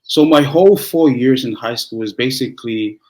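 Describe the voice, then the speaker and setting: A young man from Tonga, whose first language is Tongan, speaking as a pastor in a relaxed interview with frequent restarts and fillers. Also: mid-range voice, rough recording. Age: young adult. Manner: halting, many restarts and fillers. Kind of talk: conversational interview.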